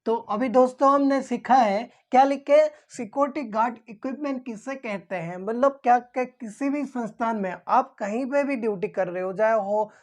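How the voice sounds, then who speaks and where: A woman's voice: fairly high pitch, moderate pace, hardly any pauses. A woman explaining things as a teacher, repeating key terms as if dictating them. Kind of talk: explanatory lesson for security guards.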